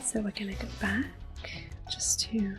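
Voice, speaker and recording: A woman giving gentle, unhurried ASMR game commentary in a soft, breathy voice that often drops to a whisper. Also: medium pitch, level tone. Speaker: gameplay host. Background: video game music.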